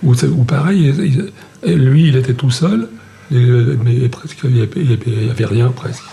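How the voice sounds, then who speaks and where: A man chatting casually as a guest, talking haltingly and repeating words. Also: mid-range voice, vocal fry, dark tone, a little hiss or hum. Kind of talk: casual group chat.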